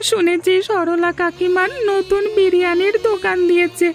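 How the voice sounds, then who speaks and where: A sick little village boy in a cartoon, pleading plaintively. The voice is extremely high and childlike, with faint music underneath. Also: flat delivery, normal speed. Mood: plaintive, eager. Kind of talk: Bengali cartoon dialogue.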